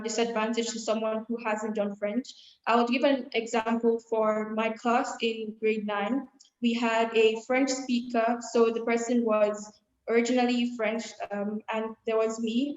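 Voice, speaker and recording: A teenage schoolgirl answering a question on an online school open day, explaining calmly over a video call. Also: on the high side. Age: teens. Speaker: student. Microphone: laptop webcam, video call. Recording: roomy, slightly dull call audio.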